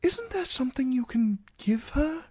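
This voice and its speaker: A narrator doing a squeaky, frightened young man. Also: sing-song, slow pace, exaggerated voice. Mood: pleading. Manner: high, squeaky, pleading. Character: fearful plump young man, anxious and pleading. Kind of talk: audiobook character voice.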